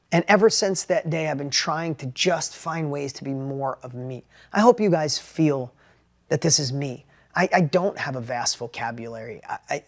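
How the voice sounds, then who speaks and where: New york guy, high-pitched voice, middle-aged, animated and plainspoken, keeps restarting his words. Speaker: entrepreneur and sales educator. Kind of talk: motivational talk with personal story.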